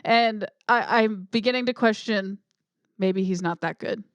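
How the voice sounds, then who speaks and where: A woman's voice: medium pitch, measured pace, dry recording, muffled recording. A woman co-hosting a movie podcast, chatting casually with blunt, skeptical opinions. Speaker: podcast co-host. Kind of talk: casual movie-review chat.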